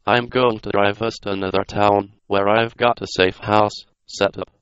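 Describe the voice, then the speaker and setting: A synthetic male computer voice reading a story as narrator in a flat, mechanical, non-dramatic way. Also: lowish voice, normal speed, clear, resonant tone, dry recording, dull audio.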